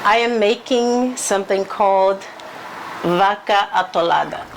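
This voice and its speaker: A woman from Brazil, a guest cook who talks through a recipe in a relaxed way, laughing easily. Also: mid-range voice, a little slow, slight background noise, poor audio. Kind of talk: casual cooking chat. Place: home kitchen.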